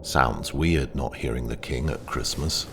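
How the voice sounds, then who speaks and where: A man's voice: rumbling low, measured pace, creaky, background noise, full character voice. A man playing a young British working-class husband and son in an audio drama, informal, dry and teasing. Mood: easygoing.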